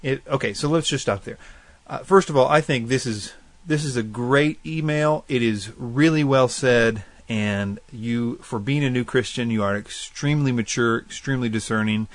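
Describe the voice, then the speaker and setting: A man hosts a faith-based call-in style radio program and works through listener questions in a relaxed teaching voice. He is earnest and thoughtful. He weighs his points as he goes and lays them out plainly. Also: medium pitch, no room sound. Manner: earnest and thoughtful, spells out addresses slowly. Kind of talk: casual explanatory Bible teaching.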